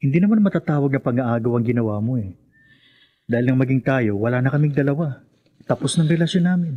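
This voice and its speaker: A slightly dull recording carries a husky, mid-pitched voice: a man acting an earnest young sweetheart in a radio drama. He sounds protective, coaxing and reassuring. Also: animated, quick pace, natural voice.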